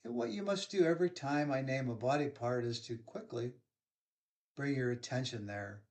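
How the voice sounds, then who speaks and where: A man leading a guided yoga nidra relaxation, giving soothing, unhurried instructions with pauses. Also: mid-range voice.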